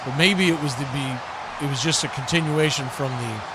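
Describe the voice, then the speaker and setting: A man doing excited live play-by-play commentary of a football game. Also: on the high side, a little fast, a crowd in the background, background noise.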